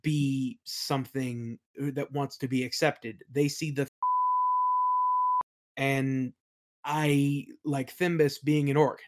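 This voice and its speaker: A man's voice: on the high side, huge pitch swings, takes it slowly, background noise. Man thinking aloud in a casual interview. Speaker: interview guest, audio play creator.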